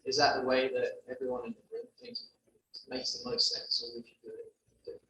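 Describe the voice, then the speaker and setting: A man's voice: medium pitch, flat delivery, normal speed. A man with a London accent, a guest presenter answering audience questions at a meeting, talking hesitantly with many restarts. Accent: London English. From London, England. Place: meeting room. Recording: echoey room, distant mic, faint hum.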